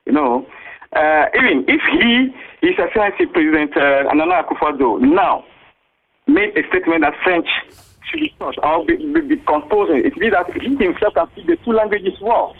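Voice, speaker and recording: A man with a Ghanaian English accent comes through a tinny phone line. His voice sits fairly high, and he speaks hesitantly, with lots of "uh"s and repeated words. This is a radio interview guest explaining his views. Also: very animated, moderate pace, vocal fry, slightly rough. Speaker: interview guest, teachers' association official.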